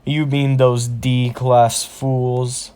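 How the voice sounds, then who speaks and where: A man's voice: mid-range voice, level tone, warm tone, clean tone. Man voicing a taunting, power-hungry orc shaman boss. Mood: mocking, menacing. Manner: taunting, with drawn-out villainous laughs. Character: large arrogant male orc shaman boss, bloodthirsty, mocking.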